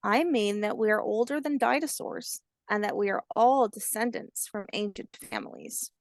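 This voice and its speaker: A woman voicing a kindly, patient old grandmother alligator, telling facts in a gentle storybook manner. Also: mid-range voice, very animated, moderate pace, dry recording, clean studio sound. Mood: tender. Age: older.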